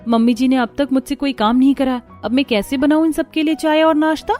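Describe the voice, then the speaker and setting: A woman's voice: high-pitched, fairly even intonation, brisk, darkish tone, faint music behind, lightly acted. A woman voicing a timid young bride who speaks meekly and anxiously. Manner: meek, hesitant.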